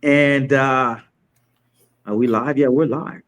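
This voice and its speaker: This is a man from Chad hosting a casual online talk stream, a voice of middling pitch. He talks loosely, breaking off and restarting his sentences as he juggles the setup and greets whoever has joined. His tone stays easygoing and unscripted. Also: steady tone, fairly bright tone. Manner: halting, many restarts while setting up. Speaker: host. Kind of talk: casual livestream interview hosting.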